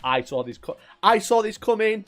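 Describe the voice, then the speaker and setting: A man's voice: high voice, very animated, moderate pace, fairly bright tone, faint music behind. A man with a Yorkshire accent, casually chatting at his desk on a live stream, reading viewers' messages, joking, teasing and laughing often. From Northern England.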